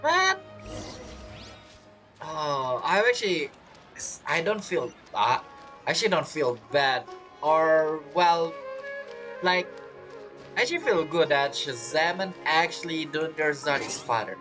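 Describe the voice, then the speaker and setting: A man's voice: fairly high pitch, sing-song, slow pace, pauses often, over music, poor audio. A man giving casual gameplay commentary as a streamer, rambling and playful, with non-native phrasing. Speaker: game streamer.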